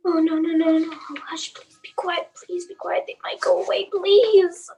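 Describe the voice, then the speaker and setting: Woman acting a terrified, very high-voiced mother. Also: steady tone, moderate pace, darkish tone, a little hiss or hum. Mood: terrified pleading. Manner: faltering, repetitive, pleading. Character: Mrs. Morris, terrified, pleading mother. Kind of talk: radio play acting.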